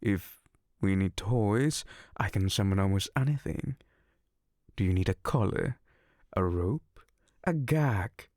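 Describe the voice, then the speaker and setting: A man's voice: low-pitched, slow, pauses often, a little mellow, dry recording. A man voicing a seductive, teasing male incubus in an ASMR roleplay, close on the mic.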